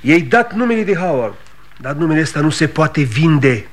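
A man's voice: on the high side, big pitch swings. An actor playing a blunt, practical, generous but exasperated businessman friend in a 1960 Romanian radio drama. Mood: frank, patient. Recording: vintage 1960 radio studio recording.

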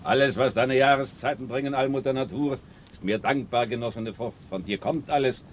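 An actor playing an old vagabond scholar who quotes loftily and solemnly in a German radio play. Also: male voice, mid-range voice, a little fast, a little hiss or hum, close to an everyday voice. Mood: solemn, reciting. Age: older. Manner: declamatory, solemn quoting. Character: old vagabond scholar forever reciting quotations, lofty and fervent.

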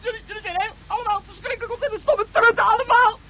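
A woman's voice: very high-pitched, fairly even intonation, rapid-fire, noisy background. A woman voicing a petulant, furious young boy in a science fiction radio play, whiny and stammering, with exaggerated emphasis. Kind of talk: Dutch science fiction radio drama acting.

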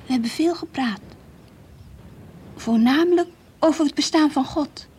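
A high, girlish voice of a devout Dutch teenager, earnest and dreamy with a stubborn streak. She speaks as in a radio drama diary, with a Netherlandic accent, over faint recording noise. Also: unhurried, a little mellow. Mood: dreamy, confiding. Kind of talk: Dutch radio play acting, diary monologue and dialogue. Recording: faintly hissy old radio play recording.

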